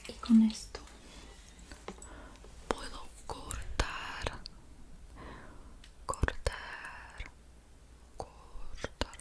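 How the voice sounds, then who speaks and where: A woman doing soft-spoken ASMR, casually rambling in a breathy whisper with a hesitant, playful manner and softly repeated words. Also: drawn-out words, pauses often. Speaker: ASMR creator.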